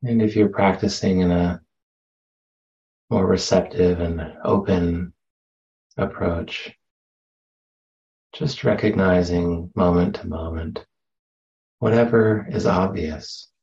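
A man leading a guided meditation as a teacher, speaking gently and unhurriedly with long pauses, on a slightly muffled recording. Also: low-pitched, steady tone. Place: meditation center.

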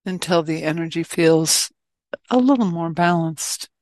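A woman leading a guided loving-kindness meditation as a teacher, speaking gently and in measured phrases with long pauses. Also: lowish voice, no echo.

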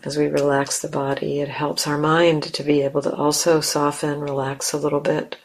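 A woman leading a guided meditation as a teacher, speaking gently in simple, unhurried phrases with long pauses. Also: low-pitched, completely flat delivery.

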